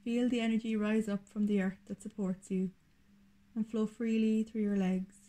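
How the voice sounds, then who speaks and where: A woman leading a guided meditation in a soothing, deliberate manner, speaking in short, unhurried phrases. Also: medium pitch, flat delivery, warm tone, poor audio.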